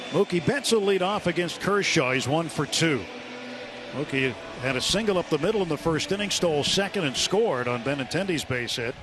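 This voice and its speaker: Man calling baseball over clear stadium noise. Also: on the high side. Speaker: play-by-play baseball announcer. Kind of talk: live radio play-by-play commentary. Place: stadium broadcast booth. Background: stadium ambience.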